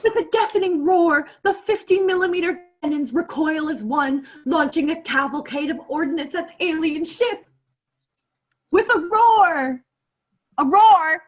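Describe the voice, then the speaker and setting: A woman's voice: very high voice, unhurried, slight background noise. A teenage woman playing a grandiose radio war reporter in a radio play, declaiming theatrically. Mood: grave, dramatic. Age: late teens. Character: melodramatic female radio war reporter, grandiose then panicked. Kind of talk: 1930s radio play acting. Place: bedroom on a video call.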